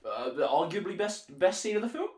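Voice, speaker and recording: Man with lively pitch swings, echoey room. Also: brisk. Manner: opinionated, informal. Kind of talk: casual film discussion.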